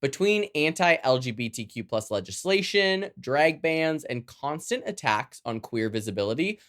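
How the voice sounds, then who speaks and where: Lively, sassy Canadian podcast host. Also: mid-range voice, moderate pace. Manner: sassy, playful. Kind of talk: scripted news round-up with chatty, campy asides.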